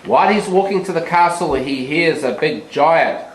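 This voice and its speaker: A teacher retelling a fairy tale simply and deliberately for young children, with asides to them, in a classroom. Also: medium pitch, expressive. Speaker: teacher narrating a children's puppet show. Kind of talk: live storytelling with asides to the children. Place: school classroom. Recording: echoey room, background noise.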